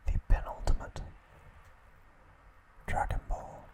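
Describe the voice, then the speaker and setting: A man narrates in a soft ASMR whisper, close on the microphone. His delivery is very slow and deliberate, broken into short, careful phrases. He sounds like a hushed host guiding a listener through trivia.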